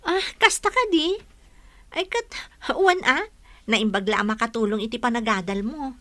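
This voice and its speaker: A woman's voice: on the high side, animated, normal speed, a bit bright. A woman playing a sweet, helpful young student with an Ilocano Filipino accent in a comedy radio drama, friendly and chatty. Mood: friendly, open. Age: young adult. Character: sweet, helpful young female student helping at a market stall.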